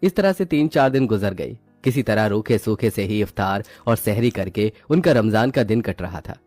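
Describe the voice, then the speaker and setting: A man's voice: fairly low pitch, expressive, normal speed, a little mellow, dry recording. A man reading scripted narration for a moral story.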